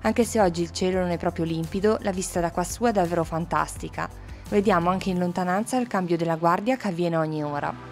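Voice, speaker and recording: A woman narrates a travel vlog in a scripted style. Her voice sits a little low for a woman, with soft music behind her. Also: fairly quick. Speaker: travel vlogger, co-host. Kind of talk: scripted travel narration, some casual on-location talk.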